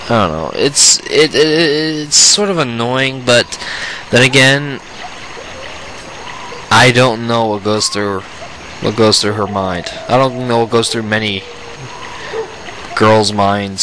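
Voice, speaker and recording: Slow, rambling man with a bright voice. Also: on the low side, a little hiss or hum, poor audio. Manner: rambling, repeats and restarts phrases. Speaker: let's play host. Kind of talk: casual game commentary. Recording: music behind the voice. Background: game music.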